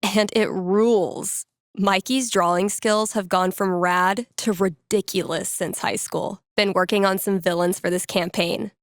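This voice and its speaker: A wry young woman, played by an actress, thinks aloud as a video game character working in a record store. Her delivery is casual and conversational, with dry sarcasm and a nerdy, playful streak. The pitch sits in an ordinary middle range for a woman, and the sound is close and dry, free of room echo. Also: fairly even intonation, a little slow, close to an everyday voice. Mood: warm, amused. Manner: casual, dryly sarcastic, with sighs and playful asides.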